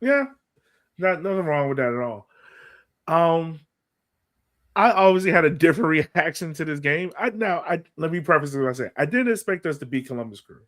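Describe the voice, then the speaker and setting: A man's voice: fairly high pitch, animated, normal speed, a bit bright. A man hosting a sports podcast, giving opinions in casual conversation and tossing in rhetorical questions. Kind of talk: casual sports chat, opinionated game breakdown.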